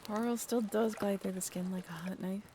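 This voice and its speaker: An actress plays a young half-mermaid woman in an intimate audio roleplay. Her voice sits at a middle pitch with plenty of creak, and she talks casually and haltingly, wry and a little bashful. Faint watery ambience runs behind her. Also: fairly even intonation, a little hiss or hum, natural voice. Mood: evasive, reassuring. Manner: casual, halting, softly self-deprecating. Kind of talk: intimate audio roleplay, one-sided conversation.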